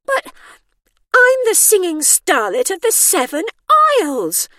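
A woman's voice: high voice, big pitch swings, a little slow, fairly bright tone, no room sound, clean studio sound, voice pushed up, heavily acted. A woman voicing a vain, entitled singing starlet in an audiobook, dazed and petulant, with an accusing, aggrieved manner. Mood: aggrieved, boastful. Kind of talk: audiobook character voice.

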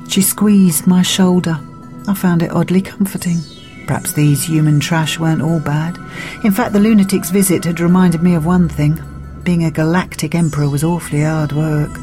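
A low, brooding voice of a woman playing a fallen galactic dictator stuck in a human body. The delivery is melodramatic and self-pitying, like a first-person storybook confession, with music underneath. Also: warm tone, natural voice. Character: bitter, self-pitying deposed male alien tyrant in human body.

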